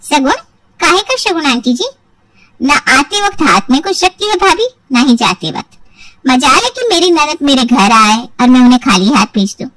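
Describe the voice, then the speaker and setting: A woman plays a taunting sister-in-law in a cartoon family story. Her voice is high and complaining, full of theatrical sarcasm. It is dry, with the microphone a little way off. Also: animated, normal speed, fairly bright tone, dry recording, distant mic, poor audio. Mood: sneering complaint. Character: spiteful, whining married younger sister-in-law, forever taunting. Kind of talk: acted Hindi moral story for cartoon.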